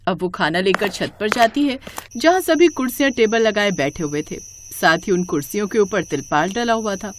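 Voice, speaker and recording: Casting: female narrator for moral tales, mid-pitched and very expressive, scripted storybook delivery. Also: normal speed, a little hiss or hum.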